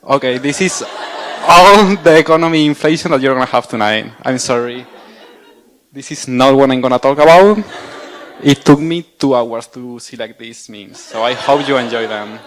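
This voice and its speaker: A young man with a Spanish accent speaks to an audience in a lecture room. His delivery is friendly and self-deprecating, often joking with the crowd, at a medium pitch. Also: moderate pace, a bit bright, low-quality recording. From southern Spain. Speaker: guest speaker. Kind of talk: casual public lecture.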